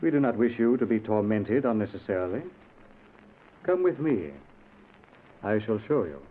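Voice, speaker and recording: A man's voice: fairly low pitch, lively intonation, moderate pace, close to an everyday voice. A narrow, faintly noisy vintage radio recording carries a man acting as an implacable guide of the dead. He is grave and formal, weighing each phrase in the manner of 1940s radio drama. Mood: grave, calm. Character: solemn, implacable male otherworldly guide of the dead. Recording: muffled, noisy old radio broadcast.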